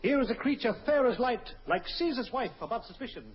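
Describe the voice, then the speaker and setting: A man's voice: extremely high pitch, animated, brisk. A man performing as a zany, mock-formal announcer on an old radio comedy show. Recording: dull, noisy 1950s radio recording.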